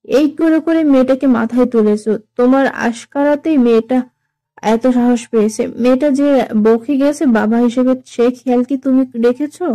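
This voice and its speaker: Woman acting a high-pitched, scolding, suspicious mother. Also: moderate pace, no echo, close to an everyday voice, poor audio. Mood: reproachful. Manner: sharp, interrogating, reproachful. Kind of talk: Bengali audio story acting.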